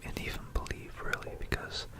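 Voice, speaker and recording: A person narrating history in a breathy ASMR whisper, close to a binaural microphone, in a hesitant, meandering way that often repeats phrases. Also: fairly quick.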